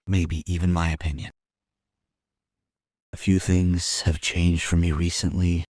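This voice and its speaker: A man playing a world-weary police detective, narrating a crime audio drama in a measured, musing way. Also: low voice, little pitch movement, darkish tone, dry recording, clean studio sound. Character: world-weary male police detective telling his case.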